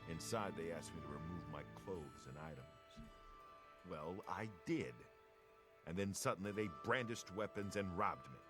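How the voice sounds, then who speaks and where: An actor playing an ashamed, self-pitying robbed husband in a fantasy video game, pleading and aggrieved. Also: male voice, low voice, expressive, normal speed. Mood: recounting ruefully. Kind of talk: video game character dialogue. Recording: game audio with music underneath. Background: game music.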